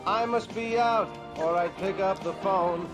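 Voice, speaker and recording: An American man singing his outgoing phone message in a sitcom. He is jaunty and high-voiced, stretching the words slowly over loud music. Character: man crooning his own jaunty answering-machine greeting. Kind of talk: sung answering-machine message.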